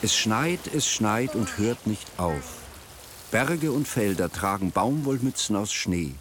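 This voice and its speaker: A man reading a scripted, descriptive radio narration in a measured storytelling manner. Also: fairly low pitch, lively intonation, noisy background. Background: music.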